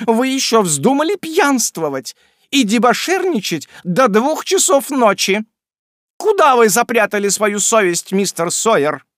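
A man voicing a furious middle-aged landlady with a shrill, screeching voice. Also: no echo, clean studio sound.

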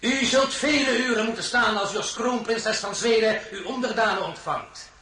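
A man's voice: high-pitched, moderate pace, bright, forceful tone. A man acting in a radio drama as an imperious, volatile French emperor, with a commanding, mocking, theatrical delivery. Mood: condescending, sardonic. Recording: roomy sound with faint noise.

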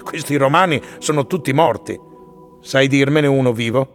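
A man with a medium-pitched voice asks scripted questions as a radio interviewer. Light music sits behind him. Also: moderate pace.

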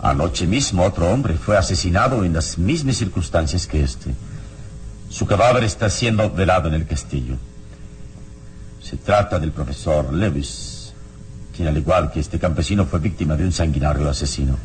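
An actor playing a serene, enigmatic and courteous male hero in a radio drama, speaking in a measured, formal, theatrical way on a noisy old recording. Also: low voice, expressive. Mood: grave.

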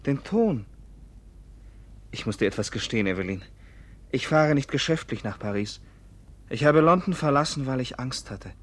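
An actor in a German radio play voicing a polite, conflicted English gentleman who speaks earnestly and hesitantly. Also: mid-range voice, expressive, normal speed, long pauses, natural voice. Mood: troubled, confessing. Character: conflicted English gentleman, secretly afraid, tenderly flirting.

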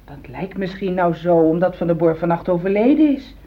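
A woman's voice: on the low side, expressive, fairly quick, natural voice. An actress in a Dutch radio play, playing a pragmatic, ambitious housewife who insistently reassures and backs her husband. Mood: reassuring, insistent. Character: loyal, ambitious middle-aged housewife, pragmatic, supportive of husband. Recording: dull old radio recording.

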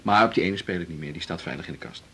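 An actor with a Netherlands Dutch accent playing a playful, romantic cellist hosting a dinner date in a 1980s radio drama. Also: male voice, low-pitched, very fast, fairly bright tone, heavily acted. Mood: musing, playful. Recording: 1980s radio recording, clear background noise.

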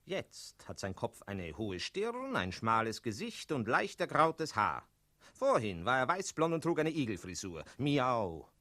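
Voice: medium pitch, big pitch swings, unhurried, a bit bright. An actor voicing a defiant talking tomcat who meows between phrases in a 1960s radio play. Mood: sly, explanatory.

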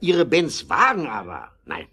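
A man's voice: mid-range voice, huge pitch swings, measured pace, bright, forceful tone, slightly rough, exaggerated voice. A man playing a cautious, money-minded business partner in a German radio play, dismissive and condescending. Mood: dismissive, patronizing. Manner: condescending, emphatic. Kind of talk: German audio drama acting.

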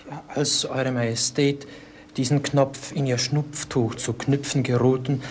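A man's voice: mid-range voice, steady tone, measured pace, close to an everyday voice. A male actor playing a deferential, formal royal servant in a 1950s radio play. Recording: faintly noisy 1950s radio recording.

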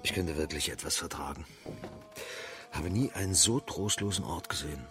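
An actor playing a gruff, grumbling, hard-drinking colonel of the Chinese imperial guard in a radio play. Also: male voice, sits low, moderate pace, fairly bright tone. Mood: sullen. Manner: blunt, grumbling.

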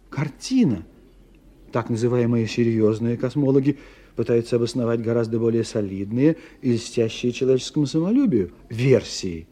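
An actor playing an urbane, ironic scientist who philosophizes with wry courtesy in a radio play. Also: male voice, mid-range voice, lightly acted. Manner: expressive, philosophizing, wryly courteous. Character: urbane, ironic male scientist explaining his theories. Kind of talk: 1990 radio play acting.

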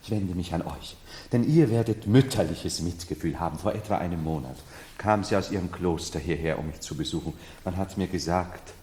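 A male actor plays a brooding self-styled emperor in a lowish voice, imperious and theatrical. He halts, then rushes on, in 1970s radio drama manner, inside a long hall reverb. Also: dark tone, a little hiss or hum, lightly acted. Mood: grieving, confiding. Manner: theatrical, halting then rushing, solemn. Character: unsettled man who believes himself emperor, brooding, imperious.

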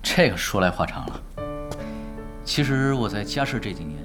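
A man's voice: fairly low pitch, expressive, moderate pace, over music. An actor playing an unflappable, teasing young male pro gamer in a Chinese audio drama, with a laid-back, drily cocky manner. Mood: calm, confiding.

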